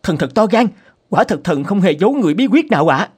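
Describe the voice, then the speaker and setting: A man's voice: sits high, big pitch swings, fast talker, breathy edge, exaggerated voice. A man playing a humble, anxious subject addressing his king in a cartoon folk tale, earnest and deferential. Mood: pleading, nervous. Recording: prominent music behind the voice.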